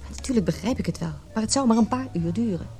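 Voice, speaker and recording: A woman's voice: fairly high pitch, lively intonation, quick pace, warm tone, lightly acted. An actress playing an anxious, lovelorn businesswoman, a married man's mistress, in an emotional Dutch radio play. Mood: hurt, reproachful. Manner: emotional, inner monologue and tense dialogue.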